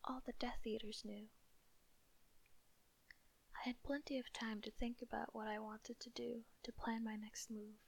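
A woman's voice: on the high side, level tone, unhurried, creaky, pauses often, husky, heavily acted. A woman whispering a cold, sardonic male wizard. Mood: cool. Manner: whispered throughout. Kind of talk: whispered ASMR audiobook reading.

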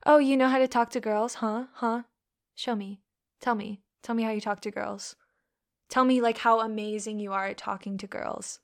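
A woman's voice: a little high, fairly even intonation, normal speed, lightly acted. A young woman playing a scornful, bullying college woman who taunts and swears constantly. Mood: taunting. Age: young adult. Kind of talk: audio roleplay.

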